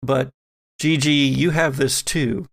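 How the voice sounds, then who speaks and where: Slow, gravelly male game master. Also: mid-range voice, fairly even intonation, fairly bright tone. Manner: deliberate, dramatic pauses. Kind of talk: atmospheric tabletop horror narration.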